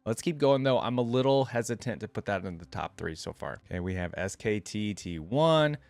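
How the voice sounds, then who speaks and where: A man's voice: fairly low pitch, lively intonation, brisk, a little mellow, dry recording. A man giving casual, informal reaction commentary as the host of a gaming channel, thinking aloud as he goes.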